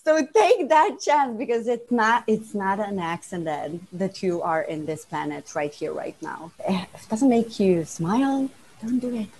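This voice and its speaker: A woman's voice: mid-range voice, expressive, unhurried, darkish tone, slight background noise. A woman from Slovenia, a guest life coach chatting in a bubbly, rambling way on a podcast. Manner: bubbly, rambling, laughs often. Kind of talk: casual podcast chat.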